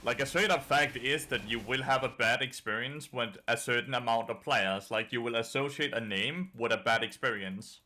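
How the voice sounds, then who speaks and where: Bright-voiced Danish man streaming a game. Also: medium pitch, moderate pace, few pauses. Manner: casual, swears, answers chat between plays. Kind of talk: casual gameplay commentary.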